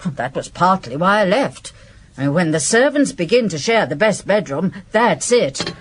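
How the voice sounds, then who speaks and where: Female, a sardonic ex-servant in radio crime drama; husky, lowish, very lively inflection. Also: measured pace, full character voice. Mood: blunt, sardonic. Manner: blunt, dry, guarded. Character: guarded, blunt, sardonic former servant woman, a witness. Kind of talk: radio detective drama acting.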